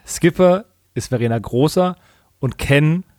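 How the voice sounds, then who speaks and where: Man, moderately high pitch, podcast co-host, joking casual conversation, dry sound. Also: expressive, measured pace, a little mellow, no room sound.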